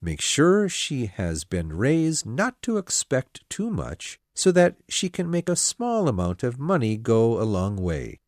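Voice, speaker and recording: A woman voicing a pompous, self-satisfied young male clergyman who proposes, speaking in a slow, smug, insistent way. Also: rumbling low, sing-song, darkish tone, dry recording, studio quality, voice pushed down, heavily acted. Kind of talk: storybook character voice.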